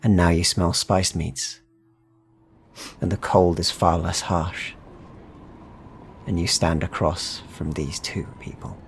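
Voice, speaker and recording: A man narrating a dark fantasy game as game master, in a dramatic, deliberate, suspenseful style addressed to the players. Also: low voice, little pitch movement, slight background noise. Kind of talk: atmospheric second-person fantasy narration.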